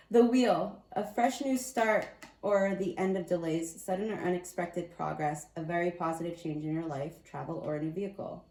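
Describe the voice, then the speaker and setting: A woman reads tarot cards in a relaxed, chatty way, thinking aloud and correcting herself as she goes. Her voice sits low and warm, with a slight rasp. The room around her is roomy and echoey. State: slightly raspy voice. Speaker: tarot card reader. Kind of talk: casual spiritual card reading.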